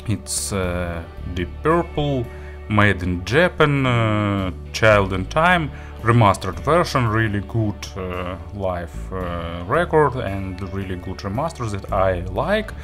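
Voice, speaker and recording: A man giving a spoken audio gear review, phrasing things haltingly but with enthusiastic emphasis. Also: on the low side, animated, a bit bright, faint music behind.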